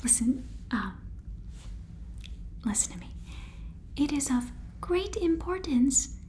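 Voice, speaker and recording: A woman's voice: high voice, lively intonation, slow pace, mellow, slight background noise. A young woman playing a weary, insistent space-agency boss on the phone in a whispered ASMR sitcom. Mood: weary, pressing. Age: young adult.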